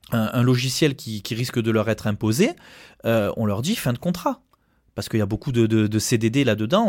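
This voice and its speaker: Deep-voiced Frenchman, halting but emphatic. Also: dry recording, clean studio sound. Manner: halting, repeats words, emphatic. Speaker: trade union representative. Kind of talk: spoken interview, argumentative.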